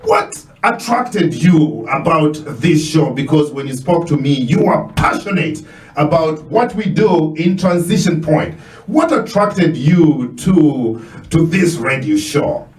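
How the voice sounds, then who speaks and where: A man with a Kenyan accent hosting a radio interview in a studio, exclaiming often and talking with animated, effusive energy. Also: fairly high pitch, takes it slowly, reverberant, distant mic, poor audio. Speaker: radio show host.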